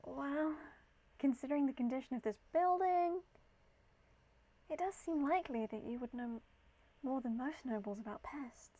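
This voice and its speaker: A woman's voice: high voice, normal speed, long pauses, dark tone, close to an everyday voice, rough recording. A woman voicing a defiant, ailing young villager in a game, speaking in a blunt, questioning way. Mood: skeptical.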